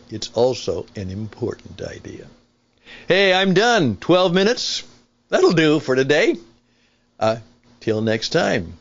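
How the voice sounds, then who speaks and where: An older man hosting a talk show with a conversational opinion monologue, speaking in an emphatic, deliberate, explanatory way. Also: medium pitch, lo-fi sound. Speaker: podcast host and veteran broadcaster.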